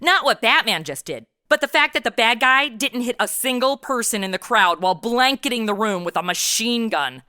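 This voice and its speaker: A woman's voice: fairly high pitch, lively intonation, normal speed, hardly any pauses, bright, forceful tone, dry recording. A woman playing a snarky modern young superhero sidekick in a comedy audio drama, tossing off dry, sassy asides. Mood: mocking.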